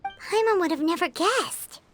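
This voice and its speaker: Game role: small blunt fairy companion, childlike and squeaky, extremely high, bright voice, music underneath. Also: female voice, measured pace. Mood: surprised. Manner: refers to self in third person, expressive. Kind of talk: video game voice acting.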